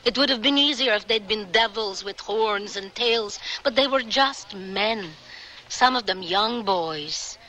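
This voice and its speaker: An actress playing a grieving older woman who survived the camps, speaking in a measured, halting, solemn way in a radio drama. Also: medium pitch, pushed and bright, low-quality recording. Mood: solemn, firm.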